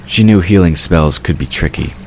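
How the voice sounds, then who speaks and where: A man reading scripted narration for a fantasy audio drama in a measured storytelling manner. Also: sits low, darkish tone, a little hiss or hum.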